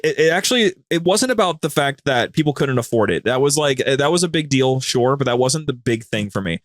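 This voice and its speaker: Man in animated, rambling casual chat. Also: mid-range voice, dry recording, studio quality. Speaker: podcast guest, co-host of a gaming media group.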